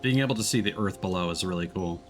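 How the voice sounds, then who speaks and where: A man's voice: sits low, quick pace. A man giving casual, wry, joking commentary as he streams a video game. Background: game music.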